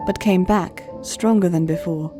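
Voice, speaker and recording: A woman narrating a scripted story in a measured, gentle storytelling manner. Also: lowish voice. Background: faint music.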